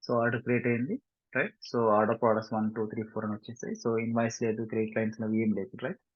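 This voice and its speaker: A man with a Telugu Indian accent giving a step-by-step software tutorial, mixing in English and often checking understanding with short tag questions. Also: medium pitch, steady tone, rapid-fire. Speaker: software instructor. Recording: muffled lo-fi mic, roomy echo.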